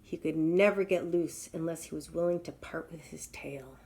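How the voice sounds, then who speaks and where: A woman's voice: low-pitched, moderate pace, mellow, a little hiss or hum. A woman storyteller reading a children's tale aloud in a dramatic, emphatic way.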